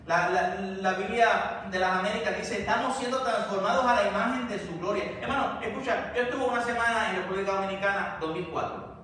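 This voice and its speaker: A man's voice: high-pitched, brisk, bright, forceful tone. A man with a Puerto Rican accent preaching a sermon to his congregation, speaking to them directly in a repeating, building cadence. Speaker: pastor. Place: church sanctuary. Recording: very echoey church, distant mic, faint noise.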